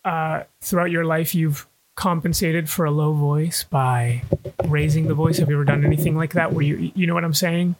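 A young man co-hosting a podcast in casual chat, with a high-pitched voice and a loose, joking way of talking. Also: fairly even intonation, moderate pace, heavy background noise. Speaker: podcast co-host. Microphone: own home recording setup.

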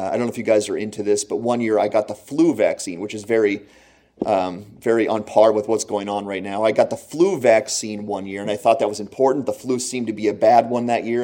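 A man preaching a sermon in an earnest, conversational way, slowing down to stress key phrases. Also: lowish voice, dark tone. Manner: laughs at own jokes. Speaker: pastor.

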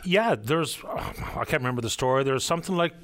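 A man's voice: mid-range voice, lively intonation, brisk, a bit bright. A man from the Ottawa Valley hosting a call-in radio show, chatty and upbeat with callers. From Ottawa Valley, Canada. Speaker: radio host.

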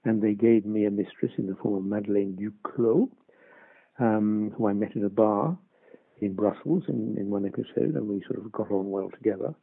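Gravelly older actor on a phone line. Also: male voice, fairly low pitch, moderate pace, no echo. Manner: hesitant, with many restarts and chuckles. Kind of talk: reminiscing interview. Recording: dry, tinny phone-like line.

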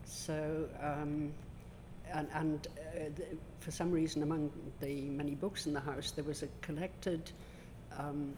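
Low-voiced older woman. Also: little pitch movement, unhurried, in a large hall, background noise. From Ireland. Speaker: guest, drama educator. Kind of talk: conversational interview. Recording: noticeable room ambience.

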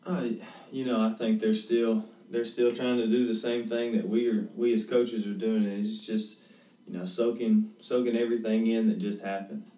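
A man in his twenties, a head baseball coach, answering interview questions in a steady, earnest way, repeating words as he thinks. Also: fairly low pitch, normal speed, echoey room, distant mic, low-quality recording. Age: 20s.

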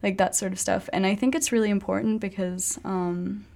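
A woman's voice: medium pitch, steady tone, moderate pace, creaky, a little mellow. Young French woman with an American accent, sincere, chatty. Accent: American-accented English. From France. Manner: earnest, repetitive restarts. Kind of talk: casual conversation.

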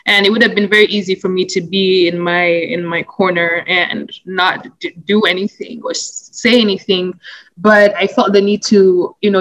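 Young woman from Uganda, interviewee, mid-pitched, earnest and reflective, lots of ums and restarts. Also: a bit bright, low-quality recording. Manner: thoughtful, earnest, many fillers and restarts. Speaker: interviewee, anti-racism advocate. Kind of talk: conversational interview answer.

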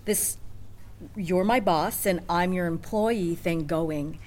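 An actress playing a wary, sharp-tongued young office employee in a satirical audio drama, speaking in a dry, hesitant, conversational way. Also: female voice, on the low side, slow pace. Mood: awkward. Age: young adult.

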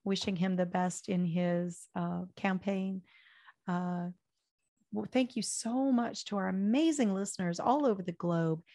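An older woman talk radio host with a hoarse voice and a gravelly, creaky edge. She speaks with fiery, preachy conviction and then winds down into a chatty sign-off. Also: medium pitch, unhurried, dark tone. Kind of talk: impassioned political talk show.

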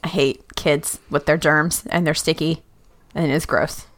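A woman's voice: deep voice, level tone, a little slow. A woman with a head cold, a teacher playing a tabletop game, complaining in a grumpy, sardonic way. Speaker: player; fifth-grade teacher. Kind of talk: casual chat and tabletop play.

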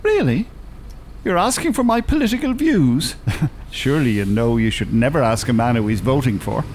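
A man's voice: mid-range voice, very animated, normal speed, darkish tone, slight background noise, natural voice. An actor playing an eloquent, cantankerous Dublin man in his seventies in a radio drama, wordy and pompous. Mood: wry, genial. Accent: Dublin Irish. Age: 70s. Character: eloquent, cantankerous, world-weary older Dublin gentleman.

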